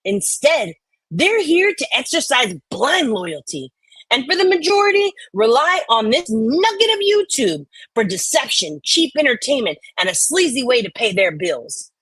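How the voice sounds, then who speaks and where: A woman's voice: high voice, very animated, normal speed, a bit bright, husky, no room sound, poor audio. A woman co-host doing irreverent, sweary dramatic readings of online comments mixed with banter.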